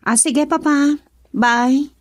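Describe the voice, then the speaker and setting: A woman's voice: high voice, slow pace, no room sound. A woman acting a heartbroken young daughter in an Ilocano radio drama, with an Ilocano accent. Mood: sorrowful.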